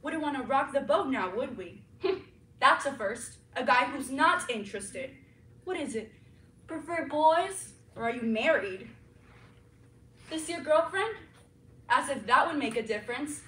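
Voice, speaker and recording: A woman's voice: animated, measured pace, long pauses, pushed and bright, full character voice. A woman playing a purring, seductive flirt. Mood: sultry. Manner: low, teasing, flirtatious. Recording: echoey room, mic far off.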